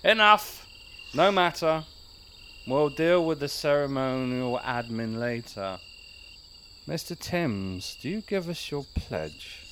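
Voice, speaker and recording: An actor playing a pompous small-town mayor who leads a secret lodge, theatrical and commanding but prone to fussiness, in comedy-horror audio drama. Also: male voice, medium pitch, animated, slow pace, sharp tone, slight background noise. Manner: theatrical, commanding, then awkwardly fussy.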